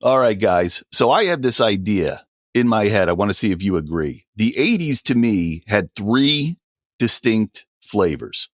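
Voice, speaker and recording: A man's voice: on the low side, animated, measured pace, no echo, clean studio sound. A man in his forties co-hosting a podcast, joking bluntly and swearing casually. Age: 40s. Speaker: podcast co-host. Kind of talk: casual chat.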